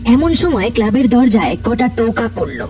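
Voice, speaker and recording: A narrator telling a spooky animated story in a scripted storytelling style. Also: mid-range voice, normal speed, recorded from a distance, over music.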